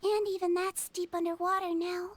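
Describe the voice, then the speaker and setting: A game character with a very high, close and dry voice, a gentle young nurse girl. She speaks sweetly and earnestly, calm and matter-of-fact like a child who has made up her mind. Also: normal speed, no room sound. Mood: wistful, accepting. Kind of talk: video game dialogue acting.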